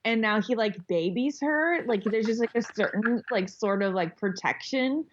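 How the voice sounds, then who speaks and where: A woman's voice: on the high side, expressive, moderate pace, a little hiss or hum. Eager woman guest on a dull line. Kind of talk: casual podcast chat.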